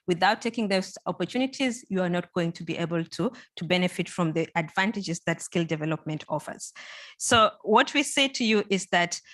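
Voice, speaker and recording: A woman's voice: lowish voice, animated, a little fast, a bit bright. A woman whose first language is Swahili, moderating a panel and giving earnest, encouraging closing remarks, often repeating words and using fillers.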